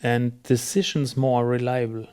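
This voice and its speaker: Halting, agreeable man on a podcast. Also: mid-range voice, fairly even intonation, a little mellow, clean tone. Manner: agreeable, halting, searching for words. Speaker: podcast host. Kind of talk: conversational business podcast discussion.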